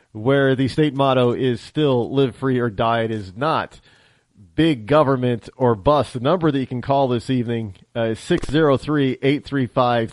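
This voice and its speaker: Wry talk-radio host in a dry studio. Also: male voice, mid-range voice, dry recording. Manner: wry, teasing, stammers and restarts, chuckles. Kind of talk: political talk radio monologue. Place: home studio.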